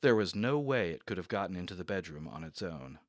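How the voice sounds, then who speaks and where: A man's voice: low-pitched, animated, brisk, no echo, studio quality. A man narrating his own story in a radio drama, anxious and growing obsessive.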